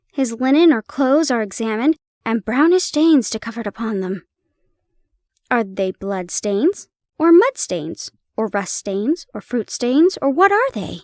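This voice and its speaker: Keen, exclaiming male detective, voiced by a woman reader, higher register. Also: no echo, studio quality. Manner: fervent, exclaiming. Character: eager brilliant male detective, excitable.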